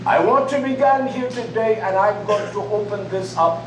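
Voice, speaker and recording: A man's voice: sits high, moderate pace. A man preaching a sermon in short, emphatic phrases. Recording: echoey room from far off with faint noise.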